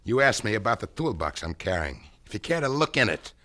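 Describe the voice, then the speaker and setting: A man's voice: fairly low pitch, very animated, fairly quick, pushed and bright. An actor playing a desperate, nervous would-be hitman in a 1970s radio drama. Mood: menacing. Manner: tense, stammering when cornered.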